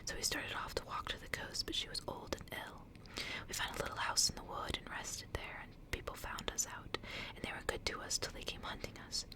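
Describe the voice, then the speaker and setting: A woman voicing a frail, frightened young girl condemned as a witch, in a faint, breathy, pleading whisper, for a storybook reading. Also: fairly quick, natural voice, poor audio. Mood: weary, faint. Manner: murmuring, pleading.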